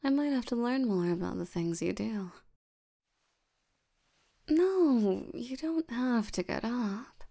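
A woman voicing a tired, languid sloth demon in an intimate ASMR roleplay, soft and often nearly whispering, with a drowsy deadpan delivery. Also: on the high side, slow, pauses often, full character voice. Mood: sleepy, reluctant. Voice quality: soft, creaky, often breathy near-whisper.

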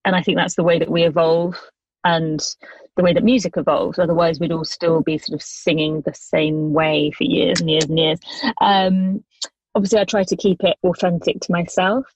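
A woman singer-songwriter in her thirties, answering interview questions thoughtfully with many pauses and restarts. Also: lowish voice, level tone, darkish tone, slightly rough, no room sound, rough recording.

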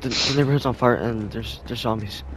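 A man playing an anxious, plucky son in an improvised zombie video game roleplay, speaking haltingly. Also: medium pitch, crowd noise, close to an everyday voice, poor audio. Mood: panicked.

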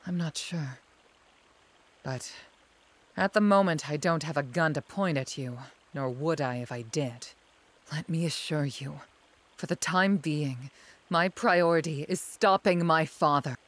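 A woman's voice: deep voice, unhurried. A woman voicing a proud young army general in a video game, cool, formal and guarded. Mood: composed, guarded.

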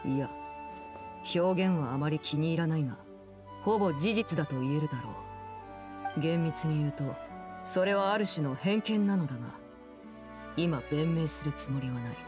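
Actress as low, cool commanding woman. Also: over music, lightly acted. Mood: calm, guarded. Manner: composed, measured, formal and authoritative. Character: cool, commanding woman, aloof diplomat and stern guardian. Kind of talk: Japanese anime-game voice acting.

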